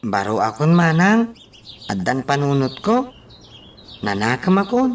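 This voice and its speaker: A woman's voice: rumbling low, big pitch swings, a little slow, heavily acted. A woman acting a naive, timid young housemate in an Ilocano radio drama, hesitant and questioning. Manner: questioning, hesitant, surprised interjections. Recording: slightly distant mic, faint background noise.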